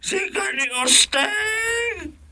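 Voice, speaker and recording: A man playing a cantankerous, impatient caller in an Ilocano radio comedy, scolding and exclaiming in drawn-out words with an Ilocano accent. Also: very high voice, animated, pushed and bright, a little hiss or hum, heavily acted. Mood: exasperated, scolding.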